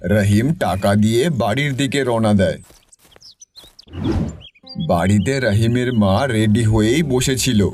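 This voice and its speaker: A man's voice: fairly low pitch, takes it slowly, pauses often, darkish tone, soft background music. A man giving scripted narration for a cartoon story.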